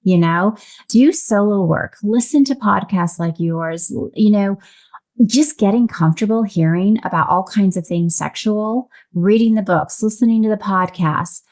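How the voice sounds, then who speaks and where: A woman's voice: mid-range voice, moderate pace, darkish tone. A woman relationship coach explaining things as a podcast guest in a casual, reflective, conversational way. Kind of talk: casual explanatory interview talk.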